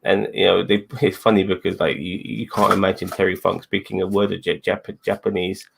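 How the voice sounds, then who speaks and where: A man with a fairly low pitch and plenty of fry in his voice talks casually as a co-host. He rambles, repeats his phrases and drops swear words as he goes. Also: flat delivery, brisk, background noise. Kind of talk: casual wrestling review chat.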